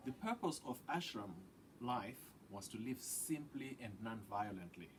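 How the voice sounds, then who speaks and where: A man reading a children's picture book aloud with deliberate, dramatic phrasing that drops into hushed tones. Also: mid-range voice, animated, noisy background. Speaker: read-aloud storyteller.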